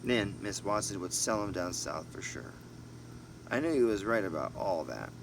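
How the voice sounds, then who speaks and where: A man's voice: deep voice, normal speed. A man reading a storybook aloud in an informal way, sometimes stumbling and correcting himself. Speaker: amateur audiobook reader. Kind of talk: storybook narration with asides. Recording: noisy home recording with steady hum.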